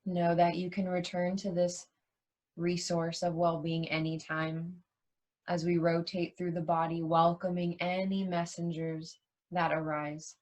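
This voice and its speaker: A woman leading a guided yoga nidra meditation as a teacher, soothing and unhurried, leaving long pauses between short phrases. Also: on the low side, flat delivery, darkish tone, dull audio.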